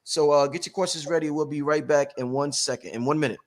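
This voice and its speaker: A man's voice: a little high, fairly even intonation, quick pace, slight background noise. A man hosting a live talk show, speaking casually and informally to his audience as family.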